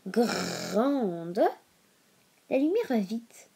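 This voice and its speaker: A woman's voice: fairly high pitch, big pitch swings, drawn-out words, creaky, mellow, slightly rough. A woman with a stuffy nose from a cold hosting a casual educational video, reading aloud and breaking into informal asides as she stumbles over long words. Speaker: video host.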